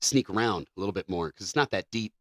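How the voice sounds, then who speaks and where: A man co-hosting a podcast, switching between casual banter and steady reading of facts. His voice is bright and clear, with a dry, close sound and no room echo. He keeps an even, measured pace when reading. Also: deep voice. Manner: reads passages in a measured, even delivery. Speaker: podcast co-host.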